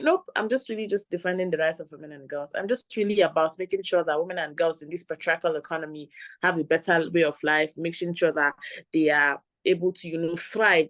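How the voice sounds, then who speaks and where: Woman, Nigerian accent, slightly low pitch, dry recording, earnest interview guest. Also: a bit bright, dry recording. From northern Nigeria. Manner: earnest, repeats and restarts phrases. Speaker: guest, women's rights advocate. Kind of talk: conversational interview.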